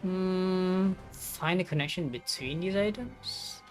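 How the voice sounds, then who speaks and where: A woman's voice: on the low side, takes it slowly. Woman game streamer, casual commentary, stammering, amused manner, music behind. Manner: amused, stammering, repeats words. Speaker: streamer playing a story game. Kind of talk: casual gameplay commentary and reading aloud.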